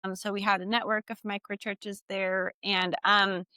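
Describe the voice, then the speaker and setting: A woman's voice: medium pitch, little pitch movement, moderate pace, sharp tone, clean tone, no echo. A woman from Costa Rica, a guest author on a podcast, talking casually with many fillers. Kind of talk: casual conversation.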